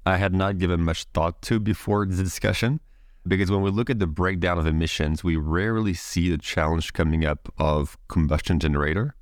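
A man hosting a podcast, reading a scripted introduction and then asking interview questions in measured, formal phrasing. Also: low voice, no room sound, clean studio sound. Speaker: podcast host.

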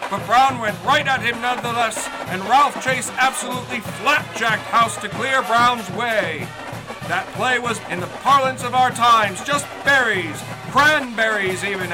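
An actor playing an excitable 1920s radio football announcer, calling the play emphatically in colourful period slang. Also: male voice, very high-pitched, low-quality recording. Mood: thrilled, shouting, then gleeful. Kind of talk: 1920s radio sports commentary. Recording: music behind the voice.

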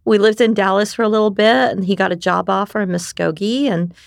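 A middle-aged woman from New York, whose first language was Japanese, telling her life story in a candid, animated way and often tagging on "right?". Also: mid-range voice, a little fast, vocal fry, no echo, clean studio sound. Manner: animated, frequent restarts and "right?" tags. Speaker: podcast guest.

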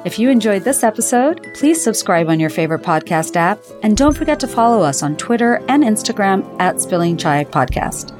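A woman from Bangladesh hosting a podcast, asking questions and reading a measured, earnest outro. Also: mid-range voice, very animated, over music. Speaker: podcast host. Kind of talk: scripted podcast reflection and outro.

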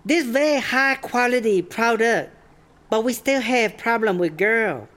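A woman's voice: on the high side, measured pace, fairly bright tone. A woman playing a breezy, bossy startup boss in a comedy audio drama, speaking clipped broken English. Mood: brisk, bossy. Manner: clipped broken English, dropped verbs and articles.

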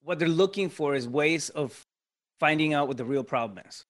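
A man's voice: a little high, moderate pace, a bit bright. A man with a Mexican Spanish accent, a native Spanish speaker, talking as a podcast guest and explaining his business step by step with frequent tag questions. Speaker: podcast guest, real estate investor. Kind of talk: casual interview talk.

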